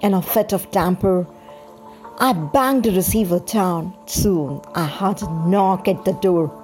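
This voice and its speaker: A woman reading a scripted first-person story in a deliberate, dramatized storytelling manner. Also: on the low side, darkish tone, faint music behind. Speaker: storyteller, podcast host.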